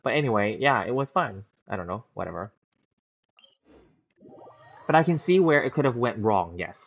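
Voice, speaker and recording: A man's voice: on the low side, lively intonation, moderate pace. A man in his thirties chatting casually with stream viewers, rambling through a story with lots of fillers and restarts, laughing easily. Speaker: gaming streamer. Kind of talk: casual livestream chat with viewers. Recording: dull lo-fi stream audio.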